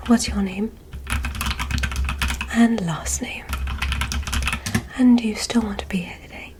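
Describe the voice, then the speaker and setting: A woman playing a polite, curious female alien medical examiner in an ASMR roleplay, in a soft breathy whisper with formal, stilted phrasing. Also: on the high side, background noise. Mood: courteous, curious. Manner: formal, oddly stilted, deliberate, reassuring.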